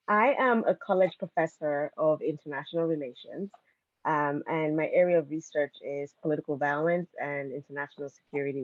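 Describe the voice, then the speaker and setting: A woman's voice: low-pitched, no room sound. Female academic guest, conversational storytelling, phone-like dry sound. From Lagos, Nigeria. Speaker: college professor, podcast guest.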